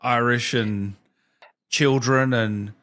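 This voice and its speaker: A man in his thirties hosts a casual chat. His voice has an average male pitch. He talks close to the microphone in a small indoor room. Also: fairly even intonation, slow, a bit bright. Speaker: interview host. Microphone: studio mic close up, with headset.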